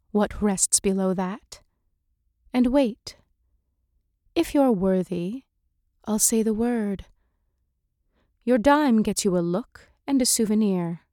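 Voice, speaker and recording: A woman narrating literary fiction in a measured, intimate manner, as if addressing one listener. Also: medium pitch, dark tone, no echo, clean studio sound. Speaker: story narrator. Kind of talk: scripted literary fiction narration, second person.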